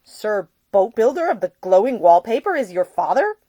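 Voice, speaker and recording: A woman voicing an earnest, blunt, smitten Victorian gentleman in a storybook reading. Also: medium pitch, very animated, normal speed, no room sound, voice pushed up. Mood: stunned. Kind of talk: acted audiobook dialogue.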